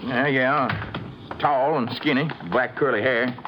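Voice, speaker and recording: An actor playing a blustery, defensive rancher in a vintage radio drama, talking in a colloquial way. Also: male voice, mid-range voice, slow pace, muffled recording, close to an everyday voice. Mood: explaining. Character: blustery, accusing male rancher witness. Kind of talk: 1950s radio acting. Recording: noisy vintage radio recording.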